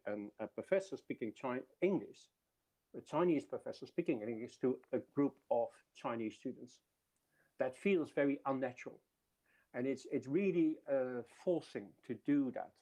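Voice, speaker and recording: Man giving a mellow talk. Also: mid-range voice. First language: Dutch. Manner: explanatory, with frequent restarts. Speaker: university speaker. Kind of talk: webinar presentation.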